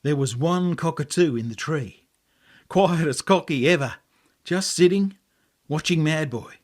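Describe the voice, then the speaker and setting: Slow-paced Australian man telling a story. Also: on the high side, a little mellow. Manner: measured, poetic phrasing with deliberate pauses. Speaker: author reading own story. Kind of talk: dramatic story narration.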